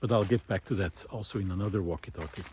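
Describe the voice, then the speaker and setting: A man giving an unscripted, reflective monologue while out walking, thoughtful and hesitant, pausing often as he finds his words. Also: fairly low pitch, creaky, mellow, a little hiss or hum. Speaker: host of a walking audio diary, former teacher. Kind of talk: unscripted reflective spoken monologue recorded on a walk. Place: outdoors, walking.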